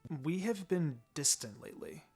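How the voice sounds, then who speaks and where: A man voicing a calm, tough young ninja girl in a story reading, in a quiet, cool way. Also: fairly high pitch, moderate pace, gravelly, husky, exaggerated voice. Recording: music behind the voice.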